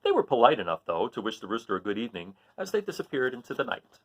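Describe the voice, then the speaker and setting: A man reading a picture book aloud to children, pausing to count along and quiz his young listeners. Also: medium pitch, big pitch swings, fairly bright tone, slight background noise.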